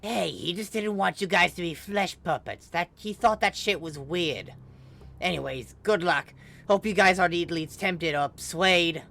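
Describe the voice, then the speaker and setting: A woman voicing a large, laid-back female snake in a story, soft and casually wry as she explains things. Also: fairly low pitch, moderate pace, vocal fry. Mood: easygoing.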